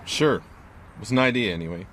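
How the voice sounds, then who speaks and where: An actor playing a gruff, wary older landlord of a haunted house, curt and folksy, in an audio drama. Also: male voice, on the low side, normal speed, fairly bright tone, lightly acted. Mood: wry, ominous. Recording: clear background noise.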